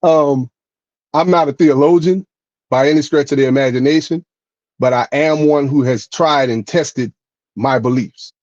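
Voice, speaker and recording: A man co-hosting a podcast explains his views steadily and carefully, pausing often to ask "right?". His voice comes through a little muffled and dry, at a middling pitch. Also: darkish tone, dry recording. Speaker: podcast co-host. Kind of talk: casual podcast conversation.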